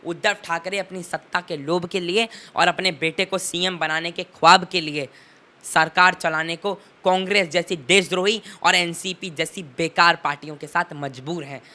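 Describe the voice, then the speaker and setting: Bright-voiced teenage boy, emphatic political speaker. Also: high-pitched, steady tone, normal speed. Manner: emphatic, rhetorical, oratorical repetition. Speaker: interviewee, young political commentator. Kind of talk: impassioned political commentary in an interview.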